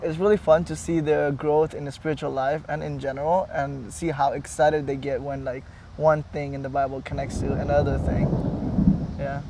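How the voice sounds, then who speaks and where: A man's voice: fairly high pitch, level tone, normal speed, vocal fry, dark tone, slight background noise, poor audio. A young man from Nepal chatting casually and a little hesitantly as an interview guest. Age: young adult. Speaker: student and Bible worker, interview guest.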